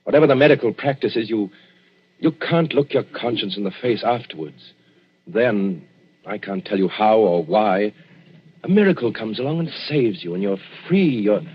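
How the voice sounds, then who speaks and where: An actor playing an anxious, irritable gentleman doctor, stammering and agitated, in a 1940s radio drama. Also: fairly low pitch, animated, normal speed, a little hiss or hum, lightly acted. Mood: brooding. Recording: dull vintage 1940s radio recording, music.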